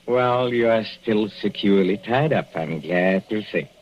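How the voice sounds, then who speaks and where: An actor playing a sadistic middle-aged professor with a Central European accent, mocking and chuckling with purring menace in a 1940s radio play. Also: male voice, fairly low pitch, unhurried. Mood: gloating. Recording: lo-fi vintage radio recording, faint hiss.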